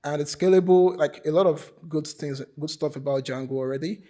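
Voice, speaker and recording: A man giving an informal lightning talk at a conference as a software developer, sometimes repeating his words. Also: on the high side, a little fast. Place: conference stage.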